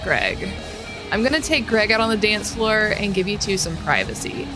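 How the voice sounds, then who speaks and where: An actress playing a bold, coaxing young woman out partying in an audio drama, with expressive delivery. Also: mid-range voice, moderate pace, music in the background. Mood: exasperated, coaxing.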